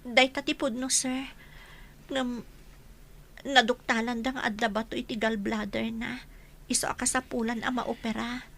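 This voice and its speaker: A woman playing a young, excitable housemaid and devoted daughter in an Ilocano radio drama, respectful, anxious and full of questioning exclamations. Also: fairly high pitch, normal speed, pauses often. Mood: worried, sad.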